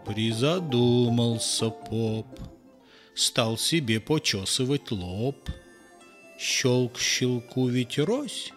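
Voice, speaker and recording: A man narrating a verse fairy tale in an expressive, theatrical and measured way. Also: mid-range voice, soft background music. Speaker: storyteller.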